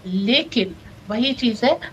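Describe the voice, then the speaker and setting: A woman's voice: on the high side, expressive, slow, low-quality recording. A woman talks through economic news off the cuff, with faint noise behind her. She stops to correct herself and mixes in English terms as she explains. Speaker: current affairs commentator.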